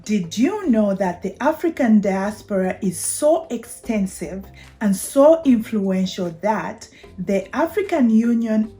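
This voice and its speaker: A middle-aged woman from Zambia with a Zambian accent, hosting a prepared explainer in a measured, deliberate way. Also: fairly high pitch, warm tone. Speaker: video channel host. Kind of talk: prepared explainer talk to camera.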